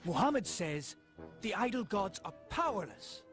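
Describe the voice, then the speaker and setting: An actor playing a brave, devout enslaved man in an animated film, resolute and earnest, with film music behind. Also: high voice, normal speed. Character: brave, devout male slave, steadfast believer.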